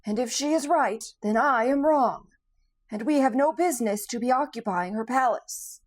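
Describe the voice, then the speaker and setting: Mild scarecrow man, high and unhurried; woman reader voicing him. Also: lightly acted. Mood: thoughtful. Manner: quiet, deliberate. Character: calm, thoughtful male scarecrow made of straw.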